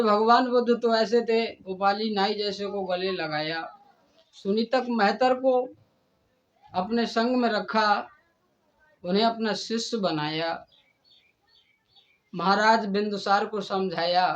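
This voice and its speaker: A man's voice: sits high, dull audio. A man delivering an indignant, oratorical admonishment, scolding someone by direct address. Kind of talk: admonishing monologue addressed to a rival and the community.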